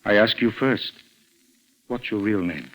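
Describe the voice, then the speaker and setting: A man playing a suspicious middle-aged stepfather in a 1940s radio drama, falsely genial and quietly menacing, probing with deliberate pauses. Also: fairly low pitch, lightly acted, rough recording. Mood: sly, probing. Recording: vintage 1940s radio recording.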